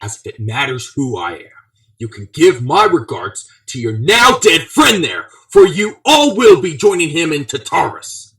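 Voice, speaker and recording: A man's voice: fairly high pitch, very animated, a little slow, a bit bright, far from the mic, exaggerated voice. A man playing a bitter, grandly menacing villain bent on revenge in an amateur radio drama. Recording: echoey room, off the mic.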